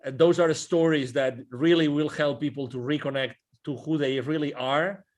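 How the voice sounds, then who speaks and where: A man's voice: a little high, fairly even intonation, moderate pace. A man in his forties hosting an interview over a video call, eager and full of restarts. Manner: enthusiastic, talks with his hands, many restarts. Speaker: host. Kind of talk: casual interview. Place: indoors, virtual backdrop. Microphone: wired earphone mic on a video call.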